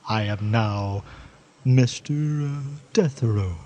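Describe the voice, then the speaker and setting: A man playing Death personified, a weary and stubborn supernatural being, speaking formally and gravely in a radio drama. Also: lowish voice, slow, dark tone. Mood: stubbornly resolute. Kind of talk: old-time radio drama acting.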